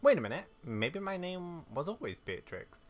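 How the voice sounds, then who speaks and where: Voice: medium pitch, very animated, moderate pace, pitched above the performer's own voice, close to an everyday voice. A gamer voicing a bold, quirky, self-important female talking horse from a video game, in a proud, theatrical manner. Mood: proud, whimsical. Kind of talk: read-aloud video game dialogue. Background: game music.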